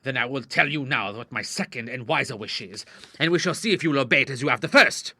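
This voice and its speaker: Man acting an indignant, forceful Victorian father. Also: medium pitch, lively intonation, quick pace, slightly rough, dry recording, heavily acted. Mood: furious. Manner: formal, sarcastic, emphatic. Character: indignant Victorian father, stern doctor, wounded and fiery. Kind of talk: dramatized audiobook dialogue.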